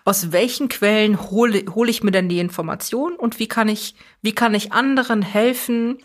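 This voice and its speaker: A woman's voice: mid-range voice, fairly even intonation, moderate pace. A young woman who grew up in Germany, speaking as an interview guest in a thoughtful, earnest way, often restarting phrases as she thinks. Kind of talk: reflective conversational interview.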